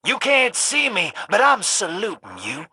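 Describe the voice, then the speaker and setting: An actor playing a sly, cocky male rogue in a video game, with a raspy, folksy, teasing drawl. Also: high voice, wildly swooping pitch, a little slow, noisy background, exaggerated voice. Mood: sardonic. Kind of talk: colourful video game voice acting.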